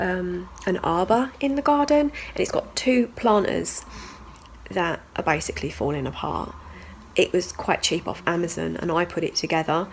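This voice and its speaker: A woman's voice: fairly low pitch, a little hiss or hum. Stuffy-nosed woman vlogging. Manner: chatty, rambling, lots of "like" and "so yeah". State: stuffy nose from a cold. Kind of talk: casual video diary chat. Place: at home and in the garden.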